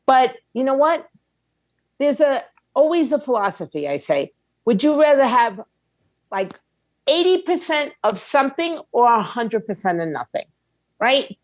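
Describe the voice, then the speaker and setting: A woman's voice: high voice, big pitch swings, measured pace, no echo. A woman giving opinionated interview answers in a blunt, emphatic way, with slangy phrasing and rhetorical questions. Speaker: music industry attorney and indie label owner, interview guest.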